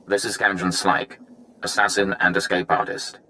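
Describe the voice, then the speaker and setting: A brisk intelligence operative in a video game. His low, forceful voice gives a grave, formal briefing over faint background noise. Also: little pitch movement, exaggerated voice. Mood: businesslike.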